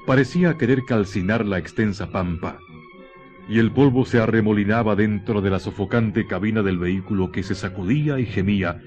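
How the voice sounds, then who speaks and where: A man's voice: on the low side, darkish tone. A man giving solemn, literary narration for a radio drama. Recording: dull lo-fi 1990s radio recording. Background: music.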